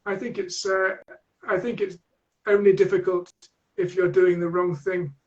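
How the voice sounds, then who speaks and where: A man with a Manchester accent answers questions as a guest teacher trainer, pausing often and restarting his sentences as he thinks. His voice sits unusually high for a man. It comes through the dull, lo-fi sound of an online call. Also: flat delivery, a little mellow. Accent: Manchester English. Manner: thoughtful, hesitant with many restarts.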